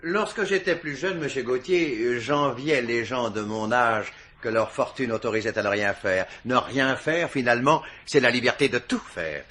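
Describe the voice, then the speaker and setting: An actor playing a sly, persistent police commissioner in a vintage French radio mystery, with a hesitant, wry, probing manner. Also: male voice, mid-range voice, normal speed, hardly any pauses, fairly bright tone, lightly acted. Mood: musing, sly.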